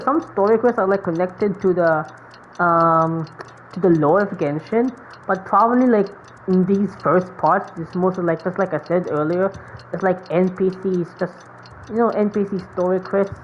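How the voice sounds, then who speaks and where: Casting: female game streamer, mid-pitched, casual rambling commentary, muffled mic with faint noise. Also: moderate pace. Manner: rambling, filler-heavy musing. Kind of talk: casual live gameplay commentary.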